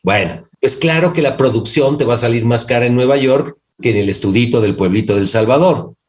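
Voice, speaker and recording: Mexican male guest, mid-pitched, didactic and emphatic, casual podcast chat. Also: fairly quick. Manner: emphatic, didactic, uses filler 'este'. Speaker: guest voice actor.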